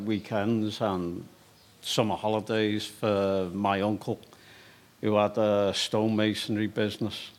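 A man in his sixties with a Liverpool accent, a stone carver telling his story in an interview, hesitant with frequent fillers. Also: fairly low pitch. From England. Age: 60s. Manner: hesitant, with many fillers and restarts.

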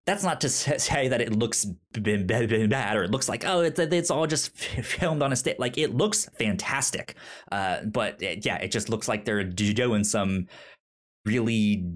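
A man co-hosting a podcast in casual, enthusiastic chat, with a halting delivery and a stutter that repeats syllables and words. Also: on the low side. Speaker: podcast co-host.

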